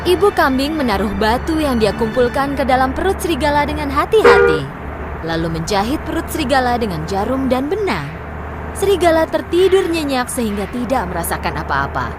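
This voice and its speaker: A woman storyteller reading scripted fairy tale narration for children. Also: high-pitched.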